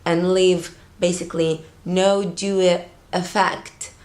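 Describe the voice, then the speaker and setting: A woman's voice: lowish voice, level tone, takes it slowly, darkish tone, echoey room. A woman in her thirties from Latvia, chatting casually through a makeup tutorial on her sofa, rambling with fillers. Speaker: beauty vlogger. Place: living room, on a sofa, indoors.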